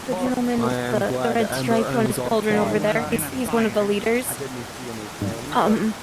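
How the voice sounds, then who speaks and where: A woman playing a measured townswoman in online roleplay, formal but hesitant, with rain and chatter behind. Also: on the high side, level tone, natural voice. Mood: hesitant, explanatory. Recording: rain and busy crowd noise behind.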